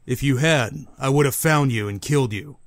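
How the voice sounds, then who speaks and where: A man's voice: mid-range voice, moderate pace, heavy background noise, natural voice. A man playing a cold, menacing mob hitman, curt and commanding, in a comic book dub. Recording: loud music behind the voice.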